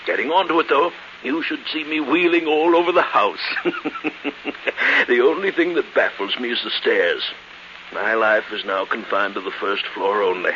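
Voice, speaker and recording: A man acting a fussy, eccentric old uncle in a 1940s radio drama. He gushes and stammers, doubling up his words in an ordinary male register. The recording is muffled, with clear hiss. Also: medium pitch, moderate pace, sharp tone, natural voice. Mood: chatty, fussy. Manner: effusive, stammering, repeats words, chuckling. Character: effusive elderly invalid uncle, fussy, eccentric collector.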